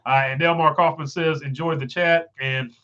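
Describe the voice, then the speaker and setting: A man's voice: on the high side, steady tone, normal speed, fairly bright tone, lo-fi sound. A stuffed-up man with a failing voice, hosting a casual livestream and rambling through viewer comments with many fillers. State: stuffed up, voice going. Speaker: livestream host reading chat. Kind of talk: casual live chat.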